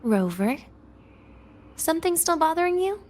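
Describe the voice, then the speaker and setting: An actress voicing a weary, teasing, guarded young woman in a video game, speaking with wry, breathy pauses. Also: very high-pitched, very animated, darkish tone, a little hiss or hum. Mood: resigned, teasing.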